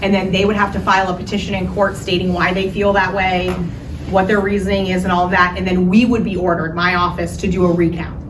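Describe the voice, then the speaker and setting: A woman's voice: on the low side, flat delivery. Woman explaining election rules, far off. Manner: explanatory, quick, with frequent "so" and "okay?". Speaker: county elections director. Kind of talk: answering audience questions. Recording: distant roomy mic with noise.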